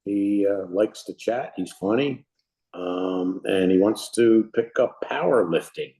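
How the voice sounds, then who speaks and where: A man's voice: on the low side, takes it slowly, dark tone, low-quality recording. A man casually introducing himself as a volunteer on a group video call.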